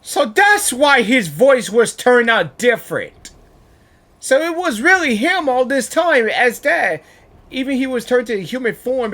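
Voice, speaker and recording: A woman giving casual, excitable, rambling live reaction commentary as a gaming host. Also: fairly high pitch.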